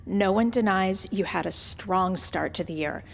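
A woman's voice: medium pitch, unhurried, creaky. An actress playing a polite but brittle female board member in an audio drama. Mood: diplomatic, strained. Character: diplomatic female board member, a wife quick to anger. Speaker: actor. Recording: reverberant room, faint music.

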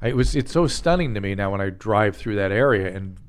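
A man's voice: on the low side, expressive, fairly quick. A man hosting a podcast interview, asking curious questions with stammered restarts. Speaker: podcast host.

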